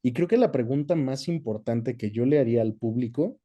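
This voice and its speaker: A Mexican man talks as a guest in a casual interview. His voice is very dark and mellow at a medium pitch, and he explains things in a measured, conversational way. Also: lo-fi sound. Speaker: interview guest, voice actor and dubbing director.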